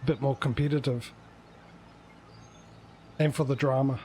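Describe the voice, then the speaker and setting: A man hosts a livestream in a casual, rambling way. He speaks hesitantly at a middling pitch, circling back to correct himself. A faint noise sits behind his voice. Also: steady tone, unhurried, warm tone. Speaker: livestream host.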